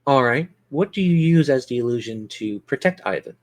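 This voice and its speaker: A man's voice: mid-range voice, lively intonation, normal speed. A man narrating a tabletop role-playing game as game master, describing scenes and prompting players with questions.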